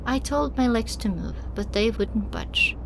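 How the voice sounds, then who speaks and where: A woman reading scripted first-person fiction as an audiobook narrator, in an expressive, dramatic way. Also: on the high side, measured pace, gravelly, background noise.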